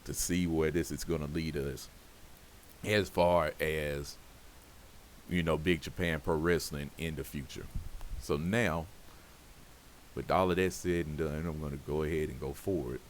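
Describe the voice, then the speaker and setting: A man's voice: extremely low pitch, steady tone, a little slow, pauses often. A man giving loose, rambling commentary on his game while it plays, his voice scratchy and his talk full of fillers. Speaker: game streamer. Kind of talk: casual gameplay commentary.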